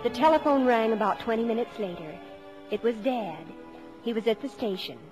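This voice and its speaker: An actress playing a sincere, devoted teenage daughter who also narrates a 1950s radio drama, with a wistful manner. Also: female voice, on the high side, lively intonation, moderate pace, darkish tone, soft background music, lightly acted. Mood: wistful, narrating.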